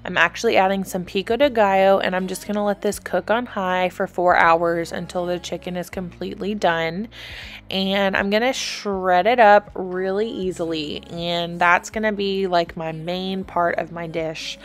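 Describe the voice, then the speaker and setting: Low-pitched woman, casual voice-over. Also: steady tone, moderate pace. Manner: chatty, informal. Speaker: vlogger, host. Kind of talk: casual voice-over explaining meal prep. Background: background music.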